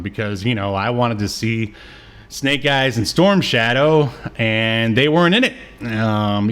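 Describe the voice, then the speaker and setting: A man's voice: fairly low pitch, a bit bright. A man hosting a podcast, chatting casually about old media with a joking, teasing manner. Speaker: podcast host.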